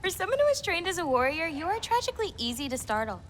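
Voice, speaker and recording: A woman's voice: extremely high pitch, sing-song, fast talker, a bit bright, a little hiss or hum, heavily acted. A young woman playing a playful, teasing giantess in a video game, bold and wry in manner. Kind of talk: naturalistic video game acting.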